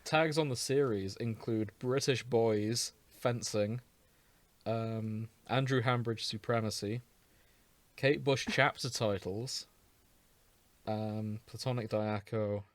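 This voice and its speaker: A man's voice: lowish voice, slow, no room sound. A man co-hosting a podcast in casual banter among friends, swearing now and then. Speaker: podcast co-host.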